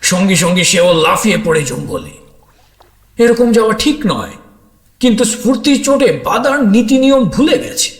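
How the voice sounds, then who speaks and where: A man's voice: sits high, normal speed. A man narrates a story dramatically in the first person, speaking expressively and straight to his listeners. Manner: expressive, addresses listeners directly. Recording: roomy echo with music underneath.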